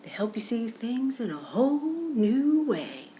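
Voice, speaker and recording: A woman's voice: a little high, big pitch swings, measured pace, mellow, slight background noise, voice pushed up. Woman as a easygoing, kindly cool cat. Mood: kind, helpful. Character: laid-back, kindly cool cat in a children's story.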